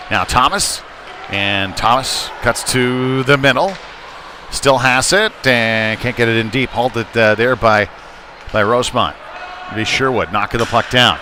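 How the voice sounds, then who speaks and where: A man doing live play-by-play ice hockey commentary in short, halting, conversational phrases. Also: medium pitch. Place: indoor ice rink. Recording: noisy live arena stream, rink ambience. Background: clear rink noise and ambience.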